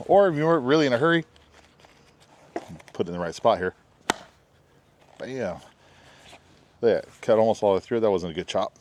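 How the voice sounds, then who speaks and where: A man's voice: lowish voice, darkish tone, a little hiss or hum. A middle-aged man with a head cold, chatting casually outdoors as he demos a knife and jokes along the way. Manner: chatty, jokey, says "guys" and "bam" a lot. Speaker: knife reviewer. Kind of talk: casual product demo. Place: backyard, outdoors.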